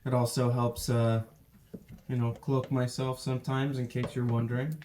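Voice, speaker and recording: A middle-aged man playing a tabletop game, joking in an unhurried way. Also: medium pitch, flat delivery, noisy background. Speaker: player. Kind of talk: casual tabletop chat.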